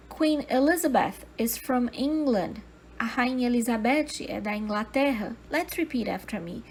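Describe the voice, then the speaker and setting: Slow, deliberate woman teaching English. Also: a little high, husky. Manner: switches between English and Portuguese, slow deliberate model sentences. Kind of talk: slow scripted language lesson.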